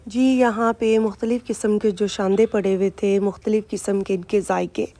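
A woman's voice: medium pitch, level tone, moderate pace, mellow. Chatty woman giving a supermarket tour. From Pakistan. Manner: chatty, explaining prices and products. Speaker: vlogger. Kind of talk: casual vlog narration. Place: supermarket, indoors.

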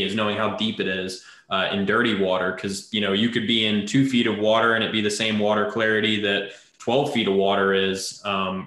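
A young man explaining his kayak fishing habits in a relaxed, rambling way over a video call. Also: lowish voice, roomy sound. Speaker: fishing coach. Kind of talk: casual panel chat.

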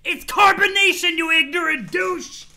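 A man's voice: high-pitched, very animated, brisk, pushed and bright, exaggerated voice. A man voicing an irritable, sweary young troll who rants scornfully in exasperated insults.

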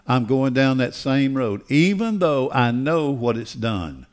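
An older man preaching a sermon in an emphatic, deliberate way, repeating phrases for weight. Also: mid-range voice, sing-song. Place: church auditorium stage. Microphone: headset.

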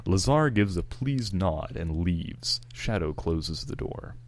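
A man's voice: low-pitched, animated, slow, slight background noise. A man narrating fan fiction, reading out the stage directions.

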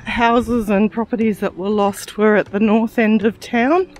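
A woman, narrating a casual travel vlog in a relaxed, chatty way while touring outdoors. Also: medium pitch, unhurried. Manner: chatty, relaxed tour guiding. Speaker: travel vlogger. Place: outdoors touring a town and wildlife park.

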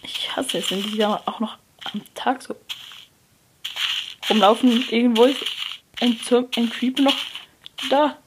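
A young person, likely a teen, giving hesitant gameplay commentary, often correcting themselves and dropping to a near whisper. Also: female voice, a little high, measured pace, long pauses. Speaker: let's player. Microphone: phone screen recorder mic. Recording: noisy lo-fi phone recording.

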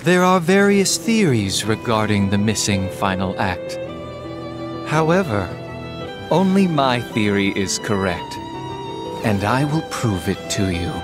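An actor playing a grandiose, poetry-obsessed former soldier in a video game, speaking in a measured, reciting way. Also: male voice, on the low side, sing-song, music in the background. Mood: grandiose, poetic. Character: theatrical male former soldier, obsessed with poetic prophecy. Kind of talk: English video game dub acting.

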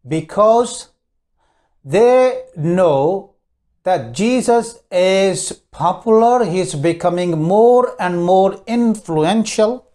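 A middle-aged man giving a sermon on a lapel mic, preaching in a slow, deliberate way with pauses. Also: sits high, animated, mellow. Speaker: minister. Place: small indoor studio, red backdrop.

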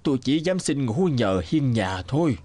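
A man's voice: medium pitch, sing-song, moderate pace, a little mellow, soft background music. A man playing a humble, injured old traveller in a cartoon, speaking hesitantly and apologetically, with groans. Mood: pained, humble. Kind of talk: cartoon dubbing.

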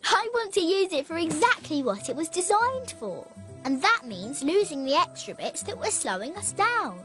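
A woman voicing a clever, upbeat young girl inventor in an animated series, with a cheerful, explaining manner. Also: very high-pitched, moderate pace, over loud music.

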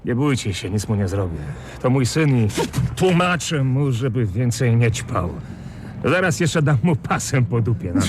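A man playing a hard, sardonic middle-aged tough guy in a radio play, deadpan, menacing and very raspy. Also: normal speed, noisy background, close to an everyday voice. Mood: falsely affable. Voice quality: low, very raspy. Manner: deadpan, menacing, matter-of-fact.

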